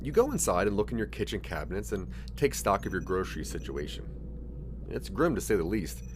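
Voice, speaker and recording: A man reads scripted second-person fiction in a fairly low voice. His delivery is dramatic and animated, with pitch that moves up and down a good deal as he tells the story. There is clear background noise with a hum behind him. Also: fairly quick. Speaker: narrator of an interactive audio drama.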